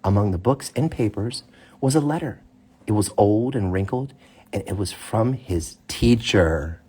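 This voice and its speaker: Man, father at story time, raspy and husky, unhurried pace, animated pitch, chatty asides. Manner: slow, unhurried reading with casual asides. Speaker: father reading a bedtime story to his child. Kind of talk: casual chat and storybook reading aloud. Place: child's bedroom, indoors.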